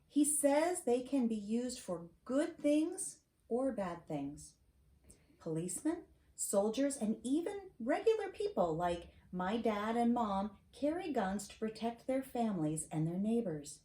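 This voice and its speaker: A middle-aged American woman reading a storybook aloud to a child, with casual asides and questions, in an expressive, teacherly manner. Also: mid-range voice. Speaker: host reading to her granddaughter. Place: home library, seated at a desk. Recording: roomy echo, clear background noise.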